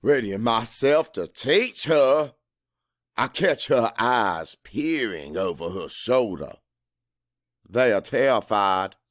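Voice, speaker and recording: An actor playing a crude, hot-tempered Cajun father who narrates his own story in a gruff, expressive audiobook style. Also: medium pitch, takes it slowly, no echo, clean studio sound. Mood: brooding. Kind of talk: first-person dramatic audiobook narration.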